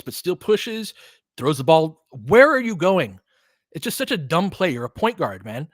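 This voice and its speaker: A man's voice: mid-range voice, no room sound, studio quality. A man giving informal, partisan live basketball commentary as a host, reacting openly to the plays like a fan.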